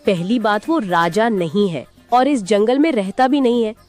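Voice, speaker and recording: A woman's voice: mid-range voice, normal speed, a little mellow, soft background music, lightly acted. A woman voicing a sly, self-important fox who poses as a queen in a cartoon, crafty and haughty. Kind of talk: animated moral-story character acting.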